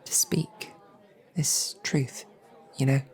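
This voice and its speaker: A woman playing a lovestruck royal knight in a romantic fantasy audio roleplay, sweetly awkward, halting and playfully snarky. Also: extremely low pitch, steady tone, a little mellow, in a whisper, some chatter behind. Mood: flustered, bashful. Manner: halting, flustered, rambling.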